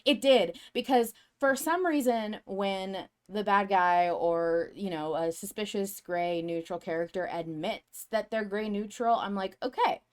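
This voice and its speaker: A woman's voice: medium pitch, measured pace, hardly any pauses. A woman co-hosting a podcast, talking casually and animatedly as a fan. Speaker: podcast co-host.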